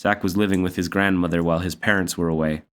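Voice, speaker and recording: Low-voiced man reading a story. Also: steady tone, fast talker, a bit bright. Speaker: story reader. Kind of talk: read-aloud children's story narration.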